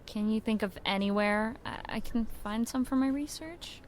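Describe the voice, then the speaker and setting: Woman acting an earnest, high-voiced young granddaughter. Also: normal speed, close to an everyday voice. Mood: polite, cautious. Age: young adult. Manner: earnest, determined. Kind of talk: audio drama acting.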